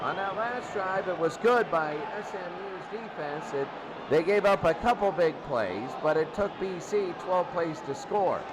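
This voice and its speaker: Guy calling a football game, high pitched voice, clipped phrases, really noisy with loud crowd behind. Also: lively intonation, unhurried. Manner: short clipped phrases, repeats calls as he follows the play. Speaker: play-by-play sports commentator. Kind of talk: live American football radio commentary. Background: loud crowd noise.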